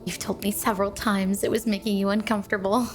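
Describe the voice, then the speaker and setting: A woman's voice: mid-range voice, level tone, quick pace, natural voice. A woman voicing a sweet, obsessively devoted yandere snow woman wife in a flirty, doting ASMR roleplay spoken to the listener. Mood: adoring, sweet. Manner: sugary, doting, flirty, clingy. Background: music.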